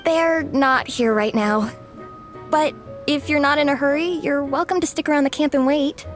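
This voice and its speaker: A woman's voice: sits high, normal speed, faint music behind, natural voice. A woman playing a theatrical young female student in a video game, given to melodrama. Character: dramatic, theatrical young female student, comic book fan.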